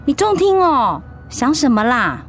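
An actress with a Taiwanese accent playing an upbeat, teasing wife in recovery from illness in a radio drama, playful and reassuring. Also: female voice, high voice, big pitch swings, measured pace, soft background music, exaggerated voice. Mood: teasing, fussing. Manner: playful, reassuring, chiding her husband. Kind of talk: Mandarin radio drama acting.

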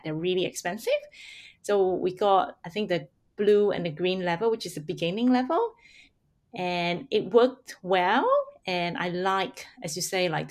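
A woman from Singapore, first language Hakka, chatting as an interview guest with many pauses and restarts. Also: fairly low pitch. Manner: hesitant, many ums and restarts. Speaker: guest, parent and blogger. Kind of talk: casual interview chat.

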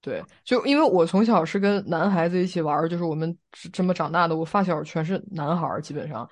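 A woman's voice: lowish voice, fast. Casual, explanatory woman podcast co-host. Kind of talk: casual discussion.

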